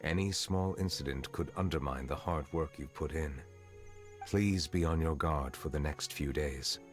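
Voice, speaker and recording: Very deep, dignified official. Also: male voice, normal speed, faint music behind. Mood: solemn, measured. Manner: formal, composed. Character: dignified, measured, formal male authority figure. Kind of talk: video game voice acting.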